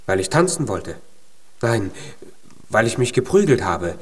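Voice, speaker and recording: A man's voice: on the low side, big pitch swings, a little slow, slightly rough, full character voice. An actor in a children's radio play, playing a tiny male giant who is naive, earnest and full of hopeful pluck.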